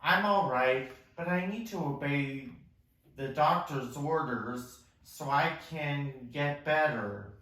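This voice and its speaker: A man's voice: fairly high pitch, slow pace, fairly bright tone. A man reading the part of a kind, injured young male patient at an amateur musical table read. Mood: hesitant, friendly. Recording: distant mic in echoey room.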